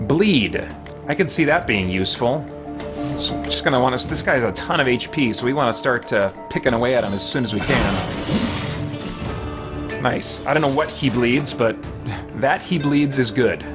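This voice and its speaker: A middle-aged man hosts a casual gaming livestream from a small room at home, with a mid-pitched voice. He muses aloud as he plays, drops mild folksy exclamations and reads out chat messages. Game music plays clearly behind him. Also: normal speed. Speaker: livestream host playing a video game. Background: video game music.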